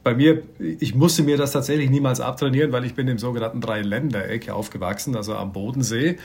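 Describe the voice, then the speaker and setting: A middle-aged man from Austria, a ship captain, chatting in an interview while searching for words with many pauses and restarts. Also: medium pitch, a little mellow. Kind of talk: casual interview answers.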